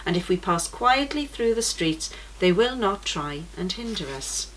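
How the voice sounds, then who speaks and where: A woman reading as a calm, steady guide. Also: medium pitch, unhurried, a little hiss or hum, rough recording. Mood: reassuring. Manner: calm, reassuring. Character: steady grown man guiding pilgrims.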